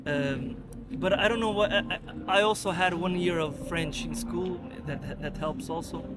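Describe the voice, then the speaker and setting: Portuguese guy on the street, slightly high voice, traffic noise behind, stumbles and restarts a lot. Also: moderate pace. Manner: hesitant, restarts often, laughs easily. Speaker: passerby interviewee. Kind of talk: casual street interview. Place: outdoors on a street.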